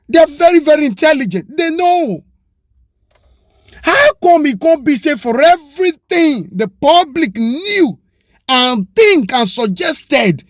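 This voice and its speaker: A Nigerian man speaks in a high voice with a Pidgin English accent, close to the mic in a dry, dead space. He argues with passion, throwing out rhetorical questions and switching into Yoruba now and then. It sounds like an opinion monologue. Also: no echo. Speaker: commentator.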